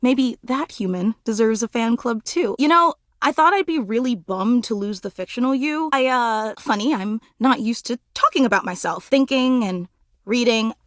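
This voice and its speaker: A young woman voicing an excitable, starstruck female fan character in a video game, with dramatic, gushing delivery and casual youthful phrasing. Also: a little high, normal speed, no room sound, clean studio sound, close to an everyday voice. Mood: shy, hopeful. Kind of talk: video game voice acting, romance dialogue.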